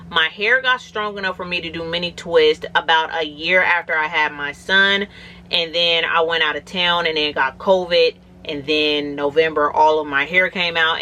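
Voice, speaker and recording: A woman in her thirties, a little raspy and hoarse from a cold and fresh braces, chatting casually in a rambling vlog. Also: low-pitched, sharp tone. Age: 30s. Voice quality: slightly raspy. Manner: rambling, conversational, many "like"s. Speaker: natural hair vlogger. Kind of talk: casual talking-to-camera vlog.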